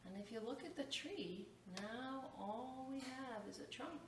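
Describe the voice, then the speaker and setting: A woman's voice: high-pitched, unhurried. A woman hosting storytime, reading a picture book to children and explaining it in simple phrases. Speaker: library storytime host. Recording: echoey room, distant mic, faint noise.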